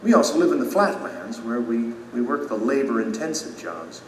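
Humble, regretful citizen, male actor: mid-pitched, expressive, earnest confession with thoughtful pauses, echoing hall setting. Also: far from the mic, slight background noise, natural voice. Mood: gently earnest. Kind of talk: audio drama acting. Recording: echoey hall, music behind.

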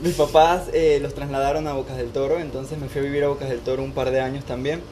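A man's voice: mid-range voice, little pitch movement, fast talker, a crowd in the background. A man, chatting casually and telling personal stories as an interview guest. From Panama, born in Costa Rica. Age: 20s. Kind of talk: casual conversation, personal storytelling.

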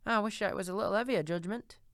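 A brazen, scheming old charwoman voiced by a man shrills and cackles through her defiant lines, pitched far above a natural speaking voice. The sound is close and dry, as in an audiobook booth. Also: fast, fairly bright tone, no room sound. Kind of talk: audiobook character reading.

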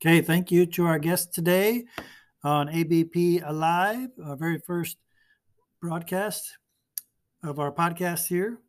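A man who is a librarian hosting a school podcast, friendly and asking questions with many pauses and fillers. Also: fairly high pitch.

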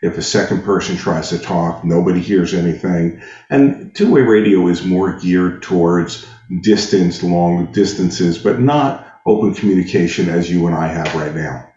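A man's voice: low-pitched, moderate pace, warm tone. A man being interviewed as a technical expert, explaining things conversationally and methodically with everyday analogies. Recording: dull, roomy sound.

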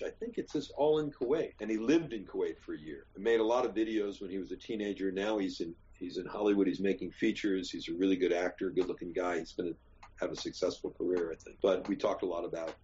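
An older American man, an actor, talking candidly and at length, emphatic, digressive and quick to swear. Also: low voice, mellow, dull audio, slight background noise. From United States. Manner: rambling, emphatic, swears freely. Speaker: actor and guest. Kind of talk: candid interview chat.

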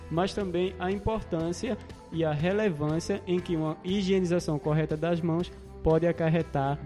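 A man's voice: a little high, warm tone. A man with a Northeastern Brazilian accent hosting an educational podcast, addressing listeners directly in a casual, wordy way. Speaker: podcast host. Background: music.

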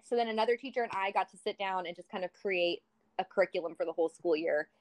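Young woman, twenties, teacher, casual chat, animated retelling. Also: fairly low pitch, quick pace, low-quality recording. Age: 20s. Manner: chatty, animated storytelling with acted-out quotes. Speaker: high school English teacher. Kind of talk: casual conversation.

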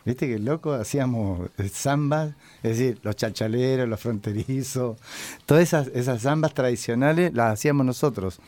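An older man from Chile, a musician answering interview questions casually, halting and reflective as he searches for words. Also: medium pitch, a little mellow, dry recording.

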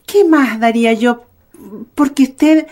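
A woman's voice: high-pitched, slow, darkish tone. A woman playing a doting, affectionate mother in a radio drama, with a Chilean accent and sighing, motherly endearments. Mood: sorrowful, tender. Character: tender, doting mother of a blind little girl.

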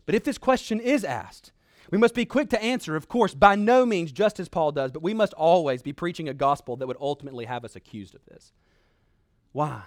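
A man delivers a sermon in a fairly high-pitched voice, recorded dry with little room sound. He speaks as an earnest preacher urging his listeners on. His phrasing is emphatic, with dramatic pauses and deliberate repetition. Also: animated, no echo. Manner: earnest, exhortatory.

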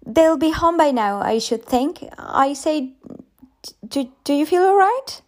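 Woman voicing a young schoolteacher, very high, bright, chatty, storybook voice. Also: expressive, unhurried.

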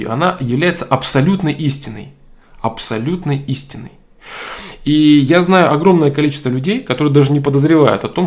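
A man giving an opinionated political monologue, stressing points by repeating them and pausing often on hesitation sounds. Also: medium pitch. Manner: emphatic, repeats key phrases, frequent hesitation fillers.

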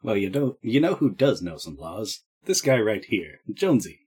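A man's voice: fairly low pitch, very animated, moderate pace, darkish tone, slightly rough. A man playing a tabletop game in character, brash and jokey.

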